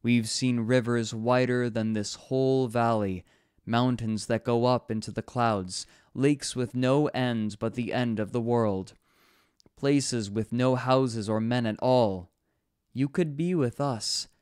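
A man voicing a dignified female alpha wolf who speaks slowly and alluringly, coaxing and hypnotic. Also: medium pitch, fairly even intonation, a little mellow, clean tone, no echo, clean studio sound, lightly acted. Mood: tempting.

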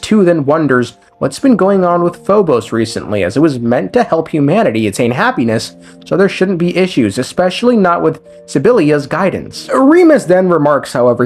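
A man giving a casual scripted recap of a video game story as a lore commentator, with irreverent, sweary, slangy asides. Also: fairly high pitch. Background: background music.

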